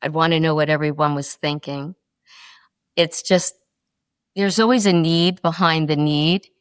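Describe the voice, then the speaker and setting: Low, smooth-voiced woman guest. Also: fairly even intonation, measured pace, dry recording, clean studio sound. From North Carolina. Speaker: podcast guest. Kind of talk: interview.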